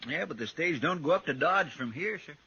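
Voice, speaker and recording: An actor playing a countrified, loyal, grumbling frontier deputy in a 1950s radio western, plaintive and deferential. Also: male voice, a little high, expressive, fairly quick, bright, forceful tone, breathy edge, exaggerated voice. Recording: dull, hissy 1950s radio recording.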